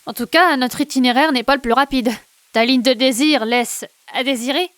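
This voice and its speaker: Actress as ironic, teasing road-trip friend, higher-pitched, amateur audio comedy. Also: female voice, normal speed, a bit bright, no room sound. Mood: wry. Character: dry, teasing female travel companion.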